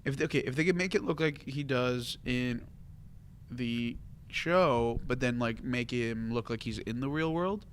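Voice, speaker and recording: A man hosts a casual panel chat in a mid-pitched voice, thinking aloud as he goes. A faint rumble sits behind him. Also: measured pace. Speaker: talk show host. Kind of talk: casual panel chat about games and films.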